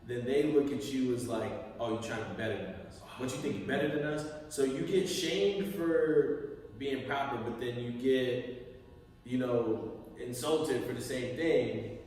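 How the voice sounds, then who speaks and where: A young man from Connecticut, co-hosting a podcast in casual chat, animated and profane as he tells stories. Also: mid-range voice, a little slow. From Connecticut, USA. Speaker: podcast co-host. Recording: echoey room, far from mic.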